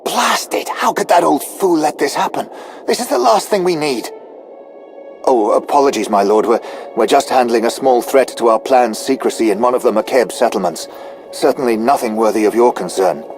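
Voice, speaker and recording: A man's voice: lowish voice, brisk, darkish tone, soft background music, close to an everyday voice. Formal, eager alien military officer played by a man. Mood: flustered, apologetic. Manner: formal, deferential, precise. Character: non-human military officer, anxious, deferential, eager to prove loyalty. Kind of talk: scripted video game dialogue.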